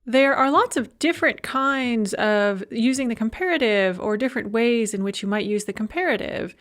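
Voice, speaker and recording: A woman lecturing on Russian grammar, speaking clearly and measuredly, and slowing down to model the Russian example sentences. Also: a little high, lively intonation. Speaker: university Russian language lecturer. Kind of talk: recorded language lecture.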